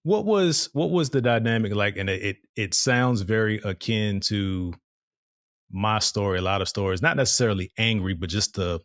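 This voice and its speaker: A man's voice: lowish voice, moderate pace, fairly bright tone, no echo, clean studio sound. A man hosting a podcast interview, prompting his guest with frequent affirmations and rephrased questions. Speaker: podcast host. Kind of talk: casual interview.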